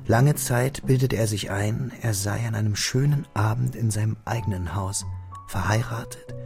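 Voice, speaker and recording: A man's voice: fairly low pitch, moderate pace, creaky, a little mellow. A man giving composed, scripted literary narration as the narrator of a radio drama. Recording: studio radio drama with music behind. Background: music.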